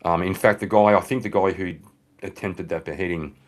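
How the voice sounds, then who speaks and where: A man speaking as a guest in a casual panel discussion, measured and hesitant with frequent ums. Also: sits low, fairly even intonation.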